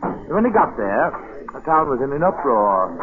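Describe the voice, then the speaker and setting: A man's voice: medium pitch, big pitch swings, normal speed, noisy background. A man narrating a 1940s radio drama as a folksy, dramatic storyteller. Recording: muffled vintage radio broadcast with music.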